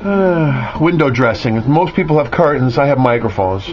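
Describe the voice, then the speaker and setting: A man's voice: medium pitch, lively intonation, moderate pace, crowd noise. A man casually narrating an off-the-cuff tour of his radio hobby gear, with jokey, self-mocking boasting. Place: cluttered garage and radio room, indoors. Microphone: handheld camera's built-in mic. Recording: muffled handheld camera audio with clear noise.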